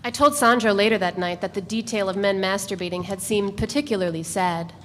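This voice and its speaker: A woman's voice: mid-range voice, a little fast, a bit bright. A woman narrating a literary novel in a scripted dramatic reading. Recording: reverberant hall with background noise.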